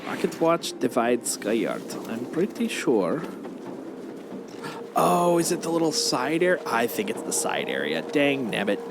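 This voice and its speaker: A man chats casually as he plays a video game. His pitch moves around a lot as he thinks aloud and jokes. Loud game and vehicle noise runs behind him. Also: big pitch swings, unhurried, creaky. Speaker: game streamer. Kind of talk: casual gameplay commentary with a co-player. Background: loud video game sound with vehicle noise.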